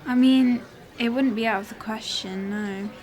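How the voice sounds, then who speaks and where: A woman's voice: on the high side, fairly even intonation, moderate pace, background noise, lightly acted. A woman playing an uneasy, bitter widow in a radio play. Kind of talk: student radio drama acting.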